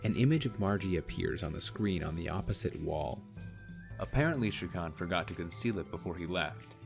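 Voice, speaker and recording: A man with a very low voice narrates a radio drama in a steady, descriptive manner. Clear music runs under his reading. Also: a little fast.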